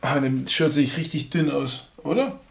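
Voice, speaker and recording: Young male unboxing vlogger, chatty and blunt, slight accent in his German, voice sits a bit high. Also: steady tone, normal speed, creaky. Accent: German with a slight foreign lilt. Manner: chatty, frank, laughs at himself. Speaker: unboxing reviewer. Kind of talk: casual unboxing commentary.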